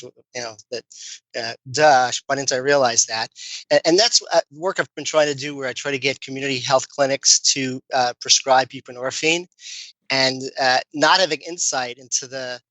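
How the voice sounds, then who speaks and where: A man in his fifties with a Brooklyn accent, a guest physician speaking in a measured, thoughtful way on a podcast. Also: medium pitch, steady tone, pushed and bright, no echo, rough recording. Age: 50s. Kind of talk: podcast interview.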